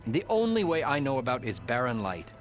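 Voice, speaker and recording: An actor playing an exasperated official in a video game, speaking in a formal, articulate and wry way. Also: male voice, mid-range voice, huge pitch swings, brisk, faint music behind. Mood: earnest, frustrated. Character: exasperated male official opposing a troublesome rival. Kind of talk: scripted video game dialogue.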